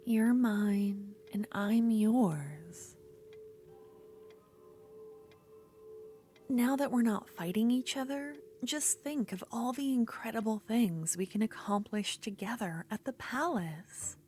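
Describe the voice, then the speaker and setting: Woman acting a slow, obsessive yandere rival. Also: fairly high pitch, lightly acted. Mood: coaxing, obsessive. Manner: slow, intimate, drifting between soft speech and breathy whispers. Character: obsessive yandere young woman duelist, possessive, smitten, menacing. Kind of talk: ASMR fantasy roleplay.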